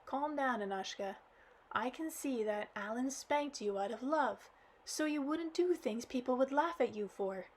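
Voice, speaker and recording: A woman's voice: high voice, measured pace. A woman voicing a kindly old great-grandfather. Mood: soothing. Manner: calm, kindly, reassuring.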